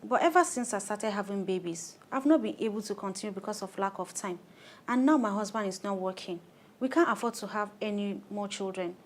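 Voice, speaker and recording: Woman acting nigerian mom around 30 in a radio play, harried, earnest, won't back down, medium pitch. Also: brisk, close to an everyday voice. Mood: frank, matter-of-fact. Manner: earnest, plain-spoken, insistent. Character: harried, determined thirty-year-old mother of five daughters, firm and frank. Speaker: clinic client in an educational radio play.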